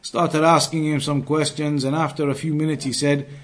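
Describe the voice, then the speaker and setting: A man's voice: on the high side, little pitch movement, moderate pace. Emphatic British man giving a religious lecture. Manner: emphatic repetition with everyday analogies. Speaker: Islamic teacher giving a lesson.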